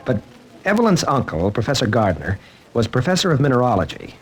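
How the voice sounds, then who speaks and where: An American actor playing a troubled college teacher with a halting, earnest way of talking, in 1940s-style radio drama. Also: male voice, medium pitch, wildly swooping pitch, low-quality recording. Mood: troubled, hesitant. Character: troubled, hesitant male college teacher confiding in a friend.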